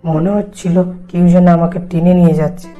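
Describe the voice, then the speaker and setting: A woman's voice: on the low side, flat delivery, a little fast, mellow, lo-fi sound, soft background music, natural voice. A woman voicing a curious, bold young village boy in a horror cartoon. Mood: shaken, remorseful. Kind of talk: Bengali animated horror story dialogue.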